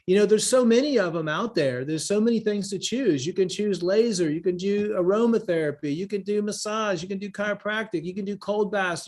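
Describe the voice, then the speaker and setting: A man's voice: high voice, a little mellow. A man informally teaching about wellness on a live online call, rambling a little, repeating phrases and listing examples as he answers. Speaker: wellness teacher and event leader.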